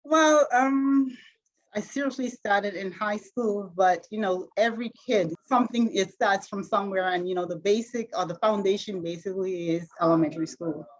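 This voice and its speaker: A middle-aged woman from the Bahamas with a Bahamian accent, answering interview questions in a halting way, full of fillers and restarts. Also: mid-range voice, muffled recording. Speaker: interview guest, retired sprinter.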